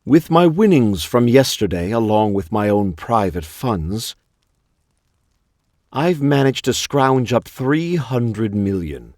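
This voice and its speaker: An actor playing a calm, shrewd noble strategist in a video game, speaking in a measured, wry, self-assured manner. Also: male voice, mid-range voice, dry recording, studio quality.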